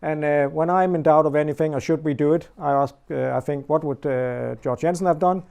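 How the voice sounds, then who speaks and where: Mellow-voiced man. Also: a little high, steady tone, fairly quick, vocal fry, clean tone. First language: Danish. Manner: hesitant, many fillers. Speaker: silver manager giving a workshop tour. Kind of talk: guided tour talk.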